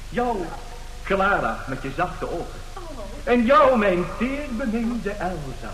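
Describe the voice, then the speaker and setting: An actor playing a glib, brazen swindler and seducer of women in a radio play, theatrical in his bargaining and pleading. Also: sits high. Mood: theatrical, coaxing. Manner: theatrical, swinging from cool bargaining to florid pleading. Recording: roomy radio drama recording.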